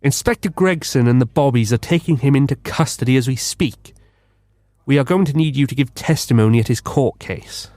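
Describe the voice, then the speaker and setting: An actor playing a bumbling, self-important London detective with a pompous, eager manner in a comedic radio drama. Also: male voice, mid-range voice, brisk, a little mellow, no echo, natural voice. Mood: confident.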